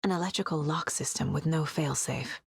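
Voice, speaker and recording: An actress playing a determined, sharp and guarded detective and mother in a video game, with naturalistic delivery. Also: sits low, moderate pace, gravelly, husky, no echo, clean studio sound, heavily acted. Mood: focused.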